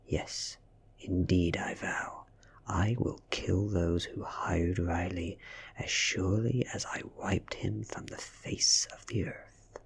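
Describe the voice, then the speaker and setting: A man with a British accent reads a story aloud in a hushed, breathy, deliberate ASMR manner. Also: low-pitched. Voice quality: breathy, whispery. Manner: hushed, deliberate, measured delivery. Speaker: ASMR reader. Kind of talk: soft-spoken ASMR audiobook reading.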